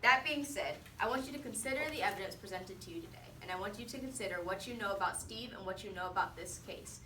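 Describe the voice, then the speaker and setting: A woman's voice: mid-range voice, fast. A teenage girl giving a prepared persuasive student speech, repeating phrases for rhetorical emphasis. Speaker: student presenting a mock defense speech. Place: indoor classroom. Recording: roomy, distant mic, faint noise.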